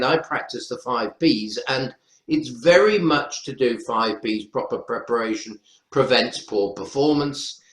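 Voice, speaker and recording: A man with a North Welsh accent, explaining his teaching practice as a lecturer on an online webinar panel. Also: mid-range voice. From North Wales. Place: indoor room, online webinar. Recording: roomy echo on webinar audio.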